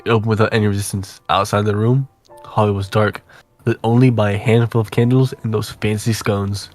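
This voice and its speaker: A man with a fairly low voice narrates a visual novel aloud. His phrasing is deliberate and suspenseful, like a dramatic storyteller's, and faint music plays behind him. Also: level tone.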